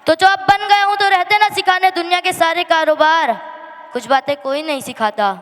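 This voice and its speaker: A high female voice recites a dramatic poetic monologue. The delivery is plaintive and emphatic, full of feeling, and rings through a hall with long reverb. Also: fairly even intonation, a bit bright. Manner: emotional, plaintive, emphatic delivery with drawn-out refrains. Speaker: monologue performer at a school annual day. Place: school annual day stage in a hall.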